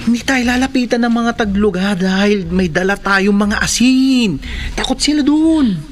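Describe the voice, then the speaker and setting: A woman's voice: mid-range voice, moderate pace, soft background music, lightly acted. A woman playing a brave, knowing boy who guides others in a horror radio drama, earnest and assured. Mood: grave. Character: brave, knowing youth Mark, protective guide against spirits.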